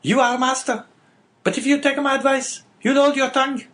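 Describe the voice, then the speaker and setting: La Carconte, shrill nagging wife. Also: male voice, steady tone, moderate pace. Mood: warning. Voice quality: shrill, high falsetto. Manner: sharp, warning, nagging. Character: shrill scolding middle-aged innkeeper's wife. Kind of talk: audiobook character voice.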